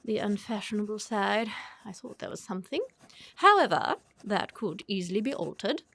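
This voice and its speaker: A woman's voice: mid-range voice, lively intonation, moderate pace, a bit bright, dry recording. A woman playing an imperious, snobbish older aristocrat with an upper-class English accent in a comic period radio play. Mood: disdainful, probing. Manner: haughty, crisply judgmental, theatrical.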